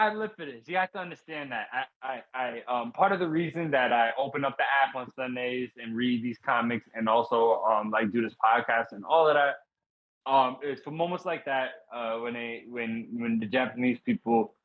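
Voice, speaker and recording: Brief: excitable male co-host, slangy ramble, mid-pitched, slightly dull lo-fi audio. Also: normal speed. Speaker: podcast co-host. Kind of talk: casual podcast chat.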